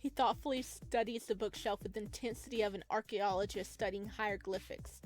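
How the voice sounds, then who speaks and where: A woman reading a visual novel aloud as a let's play host, dropping chatty, expressive reactions between the narration. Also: medium pitch, a little fast, faint music behind.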